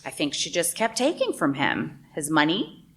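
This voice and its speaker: A woman's voice: low-pitched, huge pitch swings, moderate pace, fairly bright tone, full character voice. A woman playing a bitter, jealous radio host in a radio drama, theatrical and pointed in her delivery. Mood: insinuating, arch. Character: bitter, insinuating female radio host, jealous older ex-wife. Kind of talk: dramatic radio play acting.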